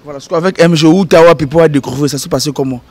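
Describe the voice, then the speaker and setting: A Congolese man hosting a casual music interview outdoors on a handheld mic, animated and joking, switching freely between languages. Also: fairly high pitch, fast. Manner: animated, laughing, breaks into sung bits. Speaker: host. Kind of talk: casual interview, mixing French and Lingala. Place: outdoor courtyard.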